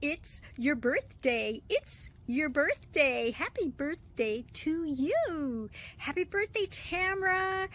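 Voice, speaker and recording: A woman's voice: high voice, expressive, takes it slowly, fairly bright tone. A woman sending a bubbly, singsong birthday message. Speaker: well-wisher.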